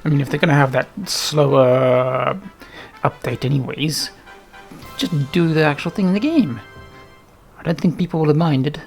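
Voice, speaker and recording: A man's voice: fairly high pitch, normal speed, creaky, faint music behind. A man giving casual gameplay commentary, muttering to himself as he thinks aloud. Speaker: gaming video host.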